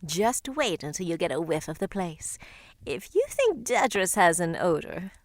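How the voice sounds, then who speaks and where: A woman's voice: fairly low pitch, sing-song, normal speed, no room sound. A woman playing a fond, motherly old friend in a visual novel, wry and affectionate in manner.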